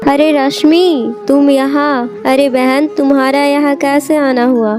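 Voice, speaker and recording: A high-voiced, work-shy housewife from a cartoon fable, acted by a woman. She talks dramatically, full of complaint and sly scheming, with music clearly behind her. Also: fairly even intonation, takes it slowly. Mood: chatty, conspiring. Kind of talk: Hindi moral-story cartoon acting.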